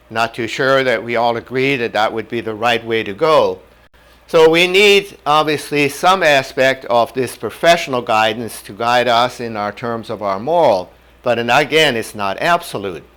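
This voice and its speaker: An older man from North Dakota whose first language was German, giving a measured lecture on medical ethics in a classroom on a lapel mic. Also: medium pitch, fairly bright tone, clear, resonant tone. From North Dakota, USA. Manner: measured, plain-spoken teaching with homely examples. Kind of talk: whiteboard lecture. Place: indoor classroom in front of a whiteboard.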